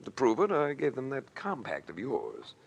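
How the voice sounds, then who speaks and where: A cool, wry radio adventurer. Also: male voice, mid-range voice, wildly swooping pitch, moderate pace, vocal fry, husky, heavily acted. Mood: wry, confident. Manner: dry, unflappable, clipped. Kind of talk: old-time radio drama acting with narration.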